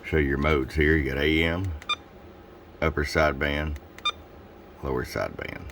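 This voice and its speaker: A man giving a casual product review and demonstration as a seller, explaining things in an informal, unhurried way. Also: a bass voice, fairly even intonation, noisy background. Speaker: radio seller presenting a product demo.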